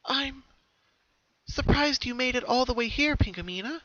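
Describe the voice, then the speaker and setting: A ghostly little-sister filly voiced by a man, lifted well above a male register. The delivery is calm, measured and ominous, as in a cartoon horror tale, with a slightly lo-fi sound. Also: extremely high pitch, no room sound, voice pushed up, full character voice.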